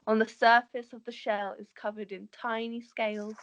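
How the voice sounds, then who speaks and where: A woman's voice: fairly high pitch, level tone, normal speed, a bit bright. A woman narrating the stage directions of a script in an amateur table read, sometimes stumbling over words.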